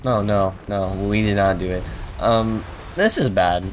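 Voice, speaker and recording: A man's voice: fairly low pitch, a little slow, slight background noise. A man livestreaming a game with casual, offhand commentary and muttered reactions. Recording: game music behind the voice.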